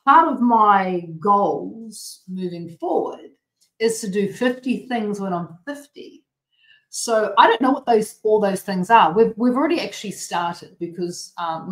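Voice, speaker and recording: A woman's voice: mid-range voice, lively intonation, unhurried, a bit bright. Australian woman host, late 40s, chatty and bubbly, mid-range voice, live-stream chat in an echoey room. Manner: bubbly, rambling, with frequent restarts and laughs. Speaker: host of a healthy cooking show.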